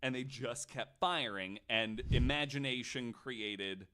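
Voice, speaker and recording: A man's voice: mid-range voice, slow pace. Man hosting a podcast, profane storyteller. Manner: animated retelling, profane. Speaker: podcast host. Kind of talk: casual storytelling to guests on a podcast.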